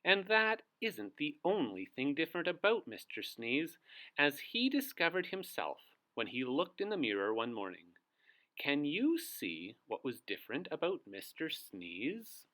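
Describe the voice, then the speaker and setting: A man's voice: a little high, very animated, measured pace, bright, forceful tone. A man reading a story aloud for young children as a storybook host. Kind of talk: animated children's read-aloud.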